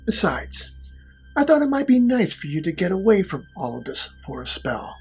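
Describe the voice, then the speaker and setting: A woman playing a cold, mocking villainous boss in an audio drama, with formal, condescending politeness and a husky voice. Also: mid-range voice, sing-song, normal speed, darkish tone, faint music behind, full character voice. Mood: stern.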